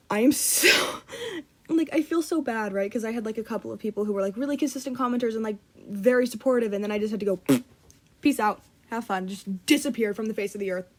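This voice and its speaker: A young woman rambling casually into her computer mic, quick to laugh at herself. She keeps pressing her middle-range voice down on purpose and swears without thinking about it. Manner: chatty, self-deprecating, giggly, swears casually; deliberately lowering her voice. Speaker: vlogger.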